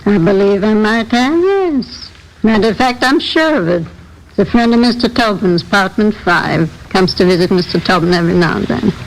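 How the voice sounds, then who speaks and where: An actress playing a chatty, literal-minded apartment manager, deliberate and folksy, in 1950s radio drama style. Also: female voice, mid-range voice, lively intonation, vocal fry, rough recording. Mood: deliberate, helpful. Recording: old radio recording, faint noise.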